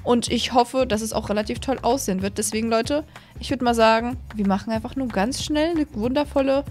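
A young woman with a high voice gives casual, chatty commentary on a game. She sounds enthusiastic and speaks directly to her viewers, close to the microphone, with music playing behind her. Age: young adult. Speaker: host of gaming videos. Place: small indoor streaming room at home. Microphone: close desk microphone on arm.

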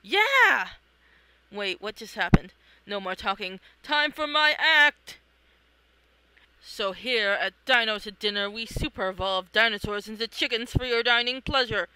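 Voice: medium pitch, expressive, measured pace, pushed and bright, clear, resonant tone, dry recording, low-quality recording. A person reading a comic aloud, acting out the characters in a theatrical, exclamatory way. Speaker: reader of own comic. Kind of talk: animated read-along of a comic, acting out characters.

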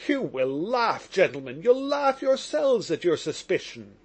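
A man's voice: high voice, sing-song, slightly rough, exaggerated voice. A man voicing an agitated, slightly drunk young man under suspicion, talking nervously and effusively. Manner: nervous, rapid, pleading.

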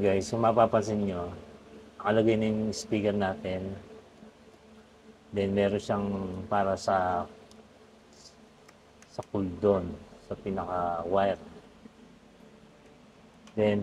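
A man giving a casual step-by-step hands-on tutorial, often halting as he searches for words. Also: lowish voice, level tone, a little mellow. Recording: clearly noisy background ambience.

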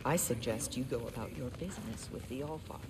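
A woman's voice: a bass voice, quick pace, very noisy. A middle-aged woman playing a stern, protective warrior mother in a video game, curt and disapproving. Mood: cold, dismissive. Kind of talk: video game cutscene acting.

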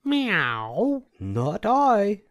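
A man's voice: high voice, wildly swooping pitch, extremely slow, fairly bright tone, pitched above the performer's own voice, full character voice. A man voicing a lazy storybook cat with short, mewing replies. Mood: dismissive.

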